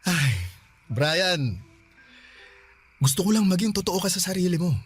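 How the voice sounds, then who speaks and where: A man's voice: high voice, animated, measured pace, husky, soft background music, heavily acted. A man playing a teasing but earnest older brother in a Filipino radio drama, talking in a coaxing way. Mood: earnest, concerned.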